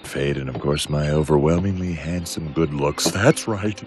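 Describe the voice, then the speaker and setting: An actor playing a vain, goofy adventurer pilot in a boastful, swaggering, bombastic way for a game cutscene. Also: male voice, a bass voice, moderate pace. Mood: self-admiring, bombastic. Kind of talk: animated game cutscene acting. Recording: loud music behind.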